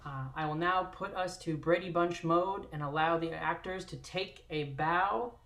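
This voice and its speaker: A man's voice: on the high side, a little slow, a bit bright, slight background noise. A man hosts and signs off an online performance in a presenter's style. His voice has a roomy echo around it. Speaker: host closing the show. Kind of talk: presenter's closing announcement. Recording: echoey room sound.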